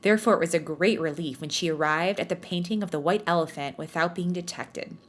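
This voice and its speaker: A woman with a slightly low voice hosts a comedy podcast, reading stories aloud. She moves between narration and bantering, self-deprecating asides in a casual way. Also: fairly quick. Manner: jokey, self-mocking, bantering. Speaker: podcast host reading fan fiction aloud. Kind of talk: storybook-like read-aloud with casual joking asides.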